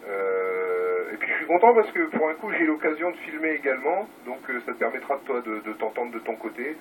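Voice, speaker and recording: Guy coming through a radio speaker, sharp voice, distant and noisy, casual chat. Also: medium pitch, lively intonation, a little fast. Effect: heard over two-way radio. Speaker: amateur radio operator.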